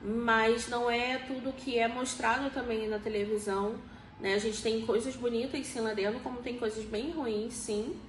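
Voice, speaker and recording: A woman of forty with a Rio de Janeiro accent gives conversational interview answers in a fairly high voice. She explains things and often seeks agreement. The room is echoey, with faint noise behind her. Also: steady tone, normal speed. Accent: Rio de Janeiro Brazilian Portuguese. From Rio de Janeiro, Brazil. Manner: explanatory, frequently seeks agreement with tag questions.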